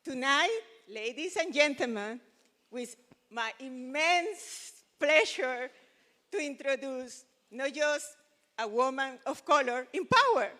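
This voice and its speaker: A woman from Venezuela, emceeing an event in a slow, emphatic, rousing way. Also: sits high, lively intonation, bright, forceful tone, in a large hall.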